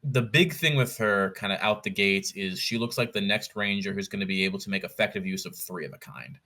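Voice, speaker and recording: Bright-voiced low-pitched man, analytical chat. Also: fairly quick. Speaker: podcast guest, competitive card game player. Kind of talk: casual interview chat.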